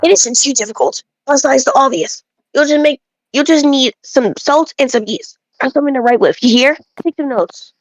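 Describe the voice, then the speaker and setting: A woman's voice: high-pitched, normal speed, dry recording, natural voice, low-quality recording. A woman reading aloud as an opinionated, folksy male bread enthusiast who rambles and grumbles through game dialogue. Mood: grumbling, rambling.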